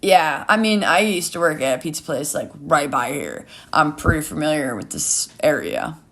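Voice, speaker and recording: A woman's voice: low-pitched, animated, normal speed, fairly bright tone, voice pushed down. A woman storyteller voicing a laid-back, ironic young hipster man with a casual, nonchalant manner. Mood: easygoing.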